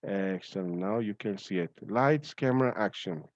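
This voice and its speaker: A man hosts an online event informally, speaking haltingly and repeating himself. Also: fairly low pitch, no room sound. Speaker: host of a student reading-aloud event. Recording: dull, lo-fi online call audio.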